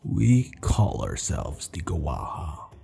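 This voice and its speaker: A low, heavily gravelled male warchief acted by a man in an ASMR roleplay. He is proud and commanding with a teasing edge, taking his time over formal, measured words, and his pitch moves expressively. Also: sing-song, warm tone, full character voice. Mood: teasing, stern. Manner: slow, measured, stern yet amused, formal phrasing.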